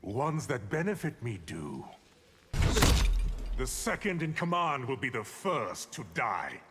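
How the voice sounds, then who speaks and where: Scheming sorcerer, wildly swooping slow delivery. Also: male voice, medium pitch, sing-song, heavy background noise. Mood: cold threat. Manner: deliberate, mocking, theatrical. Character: scheming, sneering male sorcerer, treacherous and menacing. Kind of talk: video game villain acting. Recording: long reverb under loud game music.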